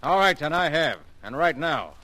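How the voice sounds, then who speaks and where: A man's voice: fairly high pitch, very animated, normal speed, bright, forceful tone. An actor playing a surly, defensive man, curt and defiant, in an old-time radio drama. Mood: defiant, irritated.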